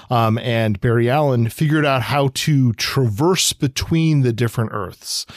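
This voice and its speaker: A man's voice: on the low side, dry recording, studio quality. A man co-hosting a podcast, explaining things casually with wry asides and rambling digressions. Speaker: podcast co-host.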